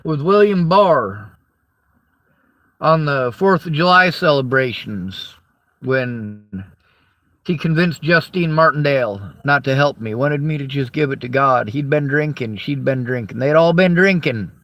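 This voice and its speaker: A tired man with a Southern US accent, talking slowly in a rambling monologue to camera. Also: medium pitch, expressive, a bit bright, no room sound, highs cut off. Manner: slow, tired, drawling delivery. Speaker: vlogger.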